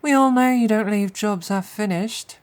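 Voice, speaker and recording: A woman's voice: mid-range voice, moderate pace, a little mellow, close to an everyday voice. A woman playing a defiant, crude and cocky female bandit in a fantasy audio roleplay, sneering and taunting as she speaks.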